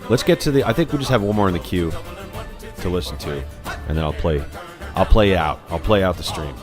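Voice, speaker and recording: A man's voice: sits low, animated, fairly quick. A man from New York hosting a live music stream, chatting casually and rambling with lots of "uh" and restarts. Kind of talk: casual chat reading viewer comments. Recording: live stream with loud music playing behind. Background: loud recorded Irish music.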